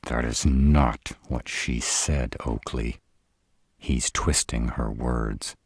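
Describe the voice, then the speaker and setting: Roleplay audio: male performer as a fierce, devoted dragon-shifter mate, extremely low and husky, crude yet tender, recorded dry. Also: steady tone, darkish tone, no echo, exaggerated voice. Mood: earnest, tender. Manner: slow, crude-tongued, tender with partner. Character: protective, devoted male were-dragon lover, brash and fierce. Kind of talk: roleplay audio drama acting.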